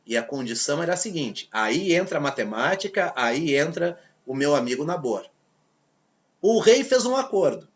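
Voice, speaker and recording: A man with a Brazilian accent teaching a history lesson, telling it as a lively story and acting out the voices of people in it. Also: fairly high pitch, normal speed, lo-fi sound. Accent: Brazilian Portuguese. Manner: lively storytelling, acts out quoted characters. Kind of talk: video lesson.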